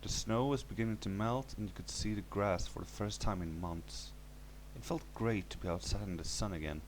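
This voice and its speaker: A man's voice: lowish voice, normal speed, slight background noise, low-quality recording. A man reading a scripted first-person horror story as its narrator.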